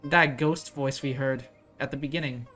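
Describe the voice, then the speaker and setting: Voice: mid-range voice, steady tone, moderate pace, a bit bright, soft background music. A gaming creator giving casual reaction commentary, musing aloud and questioning things as they go.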